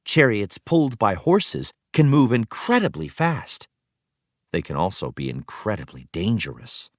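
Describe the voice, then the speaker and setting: A man reading an educational text aloud as a teacher, with expressive storytelling emphasis. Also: fairly low pitch, measured pace, no echo, studio quality. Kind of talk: scripted educational read-aloud narration.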